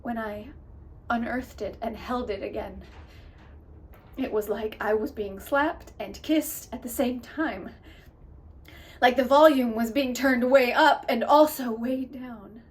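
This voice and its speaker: A woman delivering a theatrical dramatic monologue in an emotive, emphatic way, swinging between soft and loud. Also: a little high, slow. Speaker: actor performing monologues. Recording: distant mic with clear background noise.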